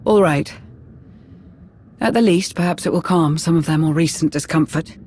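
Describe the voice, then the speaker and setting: Higher-pitched, earnest Imperial aide played by a man. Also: normal speed, darkish tone, slight background noise, lightly acted. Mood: businesslike. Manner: earnest, formal, concerned. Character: earnest, uneasy male Imperial aide and adviser. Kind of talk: dramatic video game cutscene acting.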